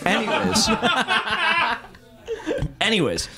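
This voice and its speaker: A man's voice: high voice, huge pitch swings, slow, gravelly, very noisy. A young man at a party, telling a rambling story to friends with lots of fillers and laughter. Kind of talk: casual party chat.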